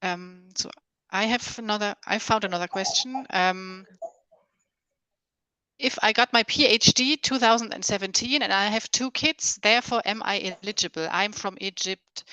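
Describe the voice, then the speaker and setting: Woman, bright sharp voice, online Q&A presenter, hesitant delivery, dry close sound. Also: medium pitch, moderate pace, smooth, no echo, rough recording. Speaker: programme presenter answering questions. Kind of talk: informal online Q&A. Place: online video conference call.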